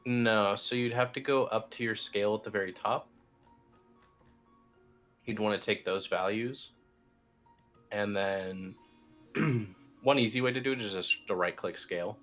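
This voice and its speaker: A man in the role of instructor, casually walking someone through a software tutorial in an easygoing, informal way. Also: on the low side, measured pace, pauses often. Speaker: instructor, team lead. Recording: music playing behind the voice. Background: background music.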